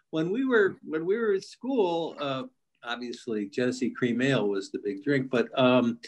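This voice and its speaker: A man's voice: medium pitch, expressive, unhurried. A man in his seventies, a college alumnus, chatting and laughing often on a laptop video call from his living room. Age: 70s. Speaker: alumnus caller. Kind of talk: casual video-call chat. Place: living room at home, indoors. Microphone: laptop webcam, close.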